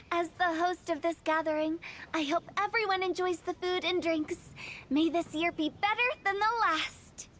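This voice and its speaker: A very high-voiced courteous young host. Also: female voice, fairly bright tone, a little hiss or hum. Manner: polite, attentive. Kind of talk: anime game voice acting.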